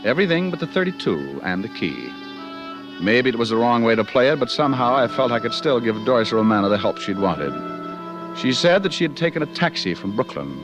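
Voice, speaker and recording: An actor playing a slick, wisecracking private detective in 1940s radio style, glib, dry-witted and unruffled. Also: male voice, mid-range voice, big pitch swings, fairly quick, over music, close to an everyday voice. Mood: wry narration. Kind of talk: 1940s radio acting, first-person narration.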